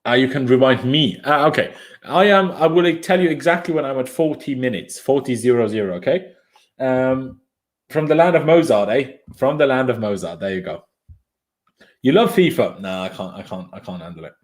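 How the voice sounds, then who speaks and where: A man's voice: medium pitch, animated, normal speed. A young Austrian man, a native German speaker, giving casual live commentary on a football match and reading out viewer comments. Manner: chatty, opinionated, reads viewer comments aloud. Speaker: fan watchalong streamer.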